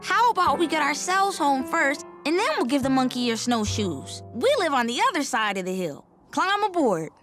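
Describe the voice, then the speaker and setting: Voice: high-pitched, sing-song, moderate pace, hardly any pauses, a bit bright, soft background music. An actor voicing a sensible, practical older child in a children's cartoon, matter-of-fact and firm. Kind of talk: cartoon voice acting.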